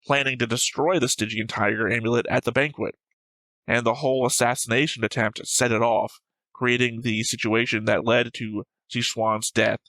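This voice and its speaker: Man, reaction show host, bright sharp voice, dry recording, casual theorizing, argumentative, thinks aloud, occasional swearing. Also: medium pitch, flat delivery, moderate pace, no echo, low-quality recording. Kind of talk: casual discussion of plot theories.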